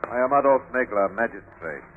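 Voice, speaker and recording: A man acting a brisk, self-assured magistrate with a formal, questioning manner. His mid-pitched voice moves widely in intonation, on a tinny old radio recording with faint noise. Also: lively intonation, natural voice. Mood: brisk, official. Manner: formal, clipped, interrogating. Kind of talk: 1950s radio drama acting.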